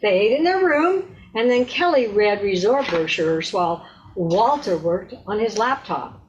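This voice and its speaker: Woman narrating with medium pitch. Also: lively intonation, measured pace, muffled recording, slight background noise. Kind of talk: scripted story narration for readers theater.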